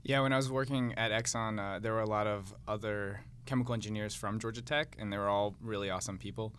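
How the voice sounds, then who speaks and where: A man's voice: fairly low pitch, fairly even intonation, fast, fairly bright tone, slight background noise. A young man from the United States, an MBA student guest, talking in a laid-back way with dry humor. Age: young adult. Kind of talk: casual interview chat.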